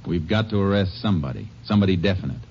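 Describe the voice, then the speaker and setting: A terse, deep-voiced Texas Ranger. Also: male voice, expressive, rapid-fire, darkish tone, husky. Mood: firm. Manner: terse, low-key and determined. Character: steady male Texas Ranger lawman, laconic. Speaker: lead actor and first-person narrator. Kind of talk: 1950s radio drama acting. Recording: muffled vintage radio broadcast.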